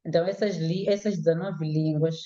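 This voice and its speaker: A woman linguist, giving measured, explanatory interview answers with some hesitations. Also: deep voice, fairly even intonation, dark tone, lo-fi sound. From Mozambique.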